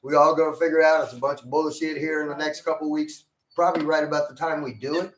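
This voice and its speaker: A man's voice: on the high side, fairly even intonation, fast, echoey room, a little hiss or hum, low-quality recording. A man hosting a casual radio talk show, brash and swearing freely as he rants. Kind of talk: casual profane banter. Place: radio studio.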